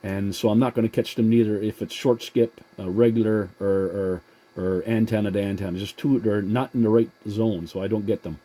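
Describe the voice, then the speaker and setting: A man chatting casually as a CB radio operator, in a halting way that repeats words. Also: lowish voice, steady tone, mellow, rough recording. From Atlantic Canada.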